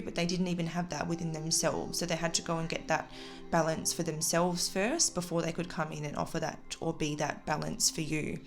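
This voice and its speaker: A woman giving a casual, intuitive tarot reading to viewers, talking in a tentative, reflective way with trailing phrases. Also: lowish voice. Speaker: tarot reader and channel host.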